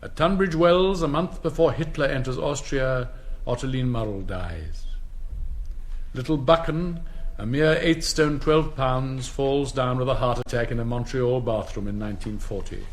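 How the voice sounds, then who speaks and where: Radio drama narrator, played by a male actor: man, mid-pitched, measured and elegiac, dry wit, nostalgic for a fading society. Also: lightly acted. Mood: wry, elegiac. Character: nostalgic, wry narrator chronicling old England's fading society. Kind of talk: scripted literary narration in a radio play.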